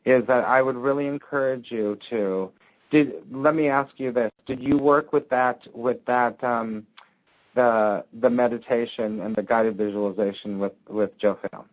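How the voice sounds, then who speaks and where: A man leading a spiritual discussion group, speaking in a gentle, encouraging way and often murmuring agreement. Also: medium pitch, fairly even intonation, measured pace. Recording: dull lo-fi sound.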